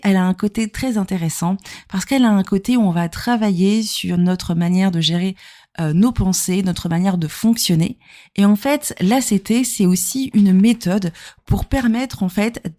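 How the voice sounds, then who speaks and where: A woman's voice: medium pitch, mellow. A woman from Normandy, talking informally as a podcast host and therapist, explaining things conversationally and addressing the listener directly. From Normandy, France. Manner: conversational, explanatory, punctuated with rhetorical questions.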